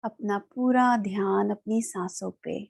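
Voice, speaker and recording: A woman with an Indian accent leads a guided meditation in a calm, soothing manner, leaving long pauses. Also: mid-range voice, gravelly, husky. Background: background music.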